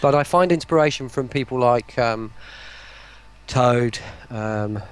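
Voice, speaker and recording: A man with a gravelly voice chats casually as a guest on a stream, rambling and often breaking off to start his thought again. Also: mid-range voice, slow pace. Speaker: voice pack creator and interview guest.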